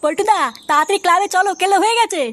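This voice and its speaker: A performer voicing a cheeky, foul-mouthed, scheming young village lad in a comic cartoon, brash and excitable. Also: very high voice, rapid-fire. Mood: urgent.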